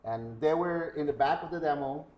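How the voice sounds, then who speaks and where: A man's voice: fairly high pitch, normal speed, darkish tone. Man talking casually in an echoey room. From Guatemala. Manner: conversational, tells anecdotes. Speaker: podcast host. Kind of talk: casual interview chat.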